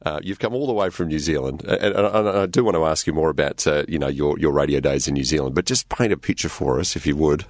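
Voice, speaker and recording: A man's voice: rumbling low, fast talker, few pauses, no echo, clean studio sound. A man hosting an interview, asking questions and affirming often with short responses. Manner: affirming backchannels, friendly chuckles. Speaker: radio interviewer host.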